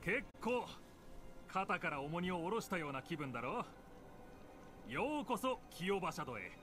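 An officious young male guard in a fantasy video game. His voice is high for a man and moves around a lot as he gives formal instructions. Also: very animated, normal speed, fairly bright tone, faint music behind. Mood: solemn, formal. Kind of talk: dubbed video game dialogue.

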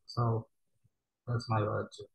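A young man with a Japanese accent reacting to a comic dub in a small bedroom, talking in halting, broken English full of restarts. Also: on the low side, little pitch movement, creaky, husky, low-quality recording. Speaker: reaction streamer. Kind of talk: casual reaction commentary.

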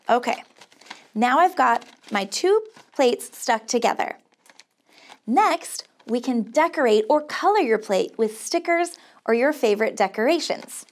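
A woman with an American accent and a high voice guides young children through a craft. She sounds upbeat and encouraging, like a camp counselor, explaining each step simply and offering sing-song praise. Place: small indoor studio set.

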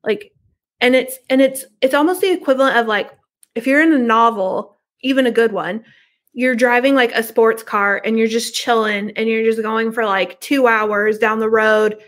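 A middle-aged woman with a fairly high voice talks as a podcast guest. She chats informally and conversationally over a video call from a room at home. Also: fairly even intonation, moderate pace, fairly bright tone.

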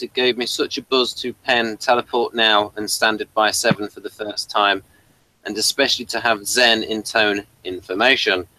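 A middle-aged man with a bright, forceful voice reads notes aloud at his desk, stumbling now and then. The sound is close and dry, with no room around it. His tone stays conversational. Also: mid-range voice, dry recording, low-quality recording. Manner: reads text aloud steadily from a tablet, with small stumbles. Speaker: podcast host. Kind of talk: casual review chat, reading liner notes aloud. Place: home study with bookshelves. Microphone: desk mic, wearing headphones.

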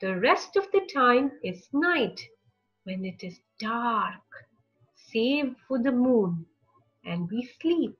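High-pitched woman reading a children's picture book. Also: sing-song, takes it slowly, highs cut off. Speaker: children's storybook narrator. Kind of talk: read-aloud picture book narration for young children. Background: music.

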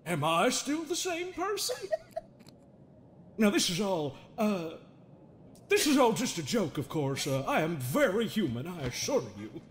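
An unhinged, folksy therapist in a comedic horror game dub. He talks high and swoops wildly between mockery and menace. Clear noise sits behind the voice. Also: sing-song, unhurried. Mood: mock philosophical. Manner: theatrical, mocking, folksy, swinging into giggles.

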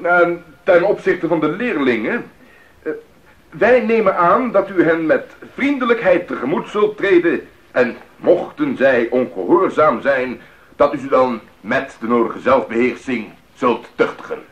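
Fairly high male voice, stern governor, formal, admonishing, radio play, dull sound. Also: lively intonation, measured pace. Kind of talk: Dutch radio drama acting. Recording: dull 1980s radio recording.

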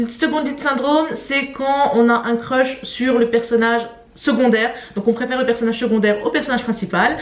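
A young adult woman chatting casually and expressively to camera in an informal vlog, often stressing her words. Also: fairly high pitch. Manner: informal, expressive, emphatic repetition. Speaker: YouTube vlogger presenting to camera. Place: small room at home. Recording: roomy indoor echo.

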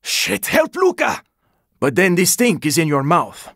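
A gruff male survivor from a zombie shooter game, battle-worn and protective. His voice is raspy and pitched very high for a man, swinging widely as he delivers terse combat callouts. The sound is close and dry. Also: huge pitch swings, measured pace, no room sound, heavily acted. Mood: urgent, alarmed, then grim, low. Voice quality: gravelly, raspy. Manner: terse, intense callouts, often strained or shouted. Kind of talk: video game combat voice lines.

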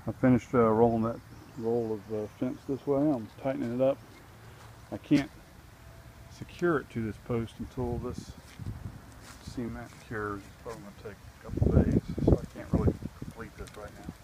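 A man's voice: medium pitch, fairly even intonation, normal speed, dark tone, a little hiss or hum, low-quality recording. Plain-spoken man narrating outdoor fence work. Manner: plain, practical, thinks aloud with fillers. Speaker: DIY vlogger. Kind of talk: casual how-to narration. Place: wooded backyard outdoors. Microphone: handheld camera mic.

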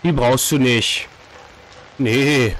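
A hoarse man in his thirties with a cold, casually commenting on a horror game at a desk microphone, reacting jumpily to scares. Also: medium pitch, extremely slow, fairly bright tone, a little hiss or hum, low-quality recording. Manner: chatty, jumpy reactions to scares. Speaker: let's play host. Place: home streaming room, indoors. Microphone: desk microphone, headset on.

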